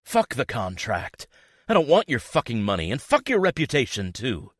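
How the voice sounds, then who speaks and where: A man's voice: mid-range voice, sing-song, normal speed, no room sound, studio quality, voice pushed up. A man voicing a bitter, betrayed young woman in an audiobook, sarcastic and accusing, his voice raised well above his own. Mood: furious. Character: furious betrayed young woman, sharp and scornful. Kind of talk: audiobook character voice.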